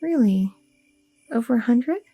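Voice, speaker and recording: A young woman playing a loving, chatty goth girlfriend in an ASMR roleplay, talking softly and intimately with lots of fillers, close to the mic. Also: a little high, expressive, unhurried, husky, dry recording, highs cut off, faint music behind, exaggerated voice. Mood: tender, candid. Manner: soft, intimate, rambling with many fillers.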